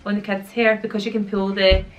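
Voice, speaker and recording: A woman's voice: medium pitch, level tone, a little fast, a little hiss or hum. A woman in her thirties with a Glaswegian Scottish accent, chatting casually to camera at home, rambling and slangy, with a slightly sore throat. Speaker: YouTuber and mum. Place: at home with children nearby.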